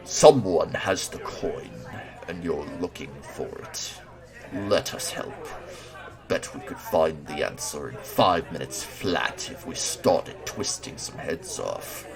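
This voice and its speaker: An actor playing a big, gruff, loyal supernatural enforcer in an audio drama, with a gravelly, commanding delivery. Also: male voice, lively intonation, slow pace, music in the background, full character voice, low-quality recording. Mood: menacing. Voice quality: very deep, gravelly.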